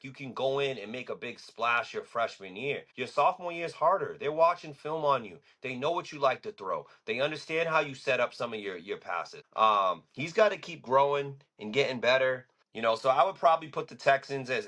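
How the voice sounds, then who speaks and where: A man talks sports on a podcast in a bright, forceful voice. His delivery is loose and casual, full of fillers and slangy emphasis as he lays out his picks. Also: medium pitch. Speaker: podcast co-host.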